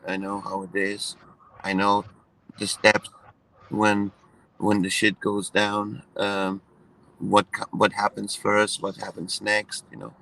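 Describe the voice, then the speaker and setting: A man from Iran, raised in Germany, talking in a relaxed conversation with many hesitant fillers and restarts. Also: on the low side, slow, gravelly, low-quality recording. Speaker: guest. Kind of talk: casual conversation.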